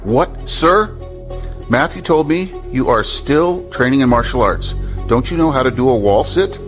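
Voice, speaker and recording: An actor playing a harsh, domineering military drill sergeant in an audio drama, commanding and sarcastic. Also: male voice, medium pitch, animated, measured pace, natural voice. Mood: sarcastic, angry. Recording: music behind the voice.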